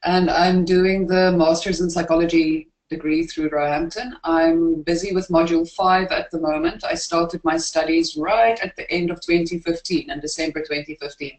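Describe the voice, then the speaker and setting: South African student panelist, candid, slightly hesitant, mid-pitch voice, roomy echo. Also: fairly even intonation, normal speed. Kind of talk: casual panel chat.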